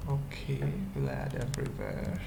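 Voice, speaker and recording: A coding tutorial presenter thinking aloud in short, halting fragments during an unscripted screencast. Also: extremely low pitch, wildly swooping pitch, vocal fry. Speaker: web development tutorial presenter. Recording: noisy mic, keyboard typing. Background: computer keyboard typing.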